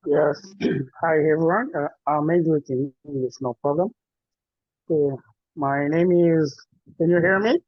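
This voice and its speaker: Congolese activist, man, husky and halting, video-call sound. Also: on the high side, dry recording. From Eastern Congo. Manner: halting, searching for words in English. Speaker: activist and party official. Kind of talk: self-introduction in a video meeting. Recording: dull, dry video-call audio.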